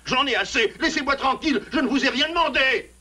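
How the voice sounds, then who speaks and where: A man's voice: very high-pitched, fairly quick, sharp tone, husky, exaggerated voice. A man voicing a sensitive, self-pitying loner animal in a French cartoon, with a plaintive, formal and dramatic delivery. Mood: exasperated. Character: sensitive, self-pitying male loner animal, once wronged, gruffly kind. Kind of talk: French cartoon dub acting.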